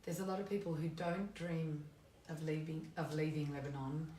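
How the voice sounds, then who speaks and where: A woman's voice: low voice, steady tone, normal speed, warm tone. A woman interviewer asking questions in a conversational, reflective way, recorded from a distance in a roomy space with faint hiss.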